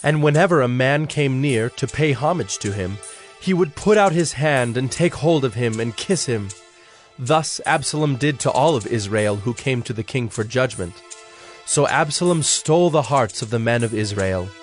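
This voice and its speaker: A man narrating a dramatized audio Bible in a solemn, measured storytelling manner. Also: mid-range voice, expressive, soft background music.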